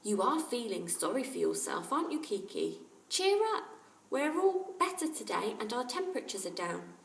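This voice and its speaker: A woman's voice: on the high side, big pitch swings, moderate pace, gravelly, pitched above the performer's own voice. A woman voicing a kind, chatty boy who is fond of his pet, in a storybook reading. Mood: gently chiding. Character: kind boy recovering from flu, fond of parrot. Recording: roomy, distant microphone.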